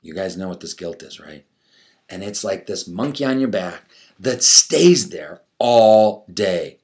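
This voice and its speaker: A man's voice: deep voice, big pitch swings, measured pace, darkish tone. A man giving a conversational motivational coaching talk to an audience, repeating phrases emphatically, asking rhetorical questions and acting out his inner self-talk.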